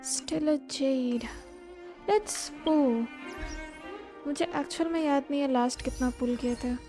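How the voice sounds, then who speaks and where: A woman's voice: high-pitched, rapid-fire. A woman with an Indian accent giving casual live game commentary as a streamer, switching between two languages. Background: game music.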